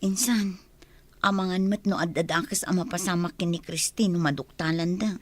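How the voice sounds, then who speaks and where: A woman's voice: lowish voice, moderate pace, dry recording, natural voice. A woman with an Ilocano accent playing a cautious, loyal cousin in a radio drama, asking worried questions.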